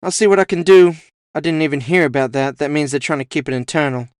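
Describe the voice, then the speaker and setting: A man's voice: a little high, steady tone, quick pace, clean tone, no room sound, studio quality, voice pushed up, natural voice. A man voicing a sassy, teasing woman who talks with easy familiarity, in a cyberpunk audio story. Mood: brisk, familiar. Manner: sassy, familiar, calls people honey. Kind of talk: audio fiction character voice.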